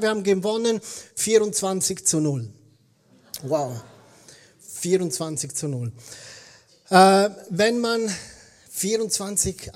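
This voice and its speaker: Swiss man with a slightly high voice, unhurried sermon delivery, chatty and storytelling, native swiss german speaker. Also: darkish tone. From eastern Switzerland. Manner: conversational storytelling, self-correcting. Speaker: guest preacher.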